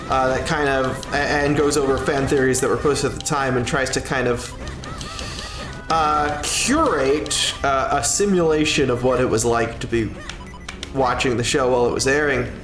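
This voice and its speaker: A man livestreaming in casual chat, rambling and halting as he talks, with drawn-out pauses and self-corrections. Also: a little high, a bit bright. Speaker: livestreamer and content creator. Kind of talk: casual livestream chat, answering a viewer's question. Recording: roomy echo with music underneath. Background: music.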